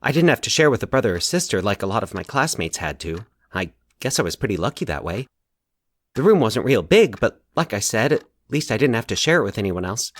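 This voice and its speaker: A man voicing an anxious, halting man confessing a recurring nightmare in a horror audio story. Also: fairly low pitch, big pitch swings, no echo, clean studio sound. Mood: nervous, hesitant. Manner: nervous, halting, stumbling over his words. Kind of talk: dramatized horror audio fiction.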